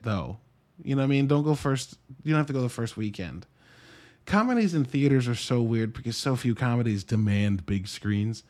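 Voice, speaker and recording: Male podcast host, early thirties, mid-pitched voice. Informal solo reviewer style, meandering and joking, unpolished and salty. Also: darkish tone. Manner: rambling, informal and profane, joking asides.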